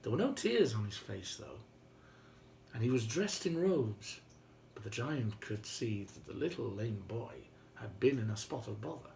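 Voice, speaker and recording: A man's voice: fairly low pitch, sing-song, measured pace, vocal fry, darkish tone, slight background noise. Man telling a fairy tale, theatrically. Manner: theatrical, droll, conversational. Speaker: storyteller. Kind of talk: animated oral retelling of a fairy tale with playful asides.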